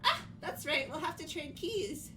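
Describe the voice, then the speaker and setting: A woman voicing a timid, sweet teenage schoolgirl with a soft-hearted, hesitant manner in a fan-voiced game. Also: high voice, expressive, measured pace, faint music behind, full character voice. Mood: gently teasing. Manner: hesitant, apologetic. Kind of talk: amateur dub of a visual novel game.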